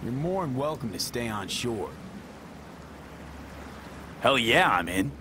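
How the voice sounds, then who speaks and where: An actor playing a wry, cocky young street mercenary in a video game, talking in short slangy lines. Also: male voice, medium pitch, very animated, takes it slowly, sharp tone, slight background noise, exaggerated voice. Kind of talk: cinematic game dialogue.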